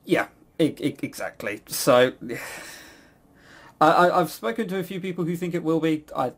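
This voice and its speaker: A British man with a slightly high voice chats casually as a podcast host. He rambles and stammers through false starts, giving blunt opinions with some swearing. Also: animated, unhurried.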